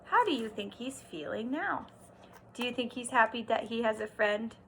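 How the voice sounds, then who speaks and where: A woman teacher doing an expressive read-aloud for young children, stressing words and pausing to explain and ask simple questions. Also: fairly high pitch, a little hiss or hum. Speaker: kindergarten teacher.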